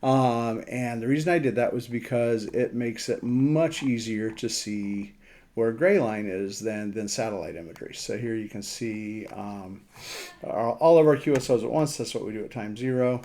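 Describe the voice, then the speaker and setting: A man giving a casual screen walkthrough as a radio hobbyist, thinking aloud in a halting way. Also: medium pitch, mellow. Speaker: amateur radio operator presenting a map demo.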